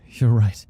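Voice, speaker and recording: A man voicing a grieving, hot-tempered stage performer in a video game, emphatic and dramatic in delivery. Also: dark tone. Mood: subdued, chastened. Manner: heated, emphatic, accusatory.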